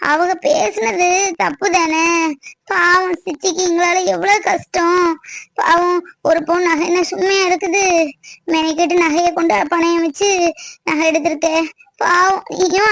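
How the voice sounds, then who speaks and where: A woman acts out a soft-hearted young bride in a family drama, her voice very high and plaintive. She speaks tearfully in a southern Tamil Nadu accent, sounding hurt, in a close, dry recording. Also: fairly even intonation, drawn-out words, creaky, long pauses, dry recording, full character voice. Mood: sad, guilty.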